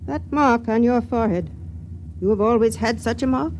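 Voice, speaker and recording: An actress playing an aged, secretive spaceship matron in a vintage radio drama, speaking formally in measured, confiding tones. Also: female voice, fairly high pitch, darkish tone, natural voice. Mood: intent. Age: older. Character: aged matron of a spaceship colony, guarded, secretive, quietly intense. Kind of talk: old-time radio drama acting. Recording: vintage radio recording with hiss.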